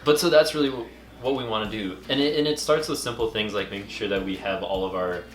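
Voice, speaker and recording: A young man interviewing in a relaxed, conversational way. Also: lowish voice, fast. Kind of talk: casual interview chat. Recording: roomy echo with faint music.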